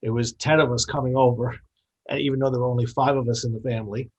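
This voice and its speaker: A middle-aged or older man from New York telling his story as an interview guest, in a measured, articulate, explanatory way. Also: mid-range voice, steady tone, rough recording. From born in the Dominican Republic, grew up in New York.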